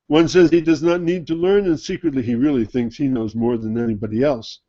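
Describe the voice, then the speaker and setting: A man's voice: medium pitch, a little fast, warm tone. A man giving an informal sermon as a Sufi teacher, talking conversationally and asking rhetorical questions. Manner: conversational, rhetorical questions, mimics others' attitudes. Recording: dull lo-fi recording.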